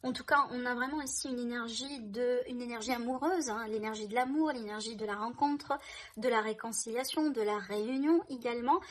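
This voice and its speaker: A woman's voice: fairly high pitch, a little fast. A woman doing a tarot reading for her viewers, speaking in a reassuring way, often checking in with "hein" and "d'accord". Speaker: tarot reader.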